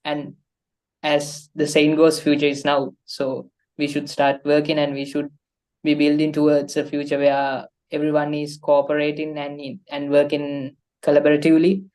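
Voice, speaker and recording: A young man with a Sri Lankan accent, an undergraduate introducing himself on a panel, hesitant and pausing often. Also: on the high side, monotone, a little mellow. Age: young adult. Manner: hesitant, many uh fillers. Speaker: student panelist.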